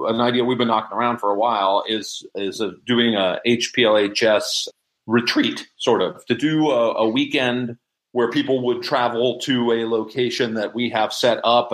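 A man's voice: medium pitch, a bit bright, rough recording. A middle-aged man answering interview questions in a casual, explanatory way. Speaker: interview guest, member of a hobbyist society.

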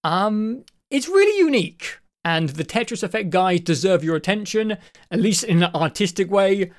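A man co-hosting a casual podcast about games, his voice croaky because his throat won't clear, rambling along with restarts and the odd swear. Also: sits high, lively intonation, normal speed. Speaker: podcast co-host. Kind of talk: casual chat.

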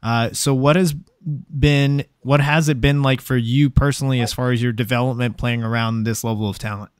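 A man hosting a podcast, reading out listener questions in a relaxed, chatty way. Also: medium pitch, normal speed, clean tone, no room sound, clean studio sound. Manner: relaxed, reads out listener questions, many fillers. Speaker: podcast host. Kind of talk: casual interview chat.

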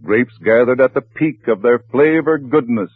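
A man reading a polished advertisement as a 1940s radio announcer, with a husky voice. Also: mid-range voice, normal speed. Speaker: commercial announcer. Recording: tinny, echoey 1940s radio broadcast.

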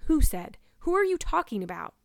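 A woman voicing a stern, exasperated older guardian in a storybook, with a brisk, sarcastic, scolding manner. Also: mid-range voice, no room sound, studio quality, close to an everyday voice.

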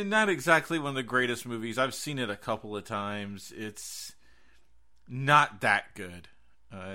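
An American man hosts a horror podcast in a casual, chatty style. His voice is a little low in pitch yet bright and punchy as he recommends films. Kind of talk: casual movie recommendation chat.

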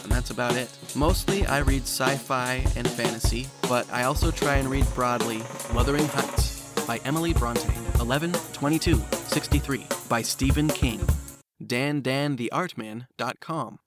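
A man promoting his own podcast in a relaxed, offhand way. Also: medium pitch, moderate pace. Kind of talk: casual promo. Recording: loud music bed under voice. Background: drums and instrumental music.

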